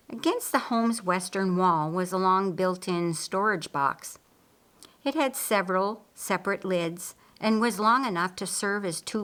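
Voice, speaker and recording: A woman reading an audiobook story in a deliberate, expressive storytelling style. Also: medium pitch. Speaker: audiobook narrator. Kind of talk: scripted storytelling narration with acted dialogue.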